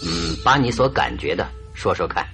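A radio drama detective, probing and methodical. He prompts in measured questions with very animated pitch, over background music. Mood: inquisitive.

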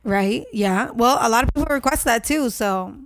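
A young woman from Honduras, co-hosting a podcast in casual chat, blunt and swearing freely as she tells animated stories. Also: a little high, moderate pace, crowd noise. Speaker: podcast co-host.

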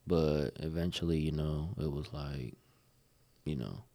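Very deep-voiced man in his late twenties. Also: a little slow, creaky, mellow. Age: 20s. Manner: rambling, leans on filler phrases. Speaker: podcast guest. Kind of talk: casual conversation.

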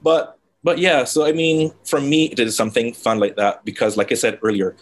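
A man from Barbados, a guest talking about building a brand in a relaxed, dry conversational way. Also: medium pitch, brisk. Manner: relaxed, reflective, self-described dry delivery. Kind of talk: casual interview conversation.

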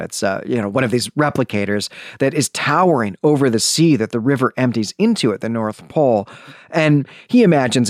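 A man hosting a podcast, telling a story in a conversational, animated way with casual asides. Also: mid-range voice, no room sound, clean studio sound. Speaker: podcast host. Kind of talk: conversational book summary and commentary.

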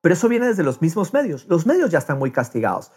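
A man's voice: fairly high pitch, very animated, fast talker, a little mellow, husky. A man with a Latin American accent chatting casually and expressively on a podcast, often repeating words as he thinks aloud. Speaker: podcast host.

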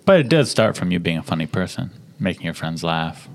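A man's voice: deep voice, very animated, brisk, gravelly. A man hosting a casual podcast chat, teasing and playful as he questions his guest. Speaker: comedian podcast host.

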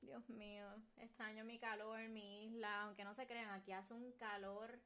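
A woman's voice: mid-range voice, level tone, moderate pace, pushed and bright. A woman in her twenties with a Puerto Rican Spanish accent, co-hosting a casual podcast chat, laughing often and mixing in English words. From Puerto Rico. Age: 20s. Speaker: podcast co-host.

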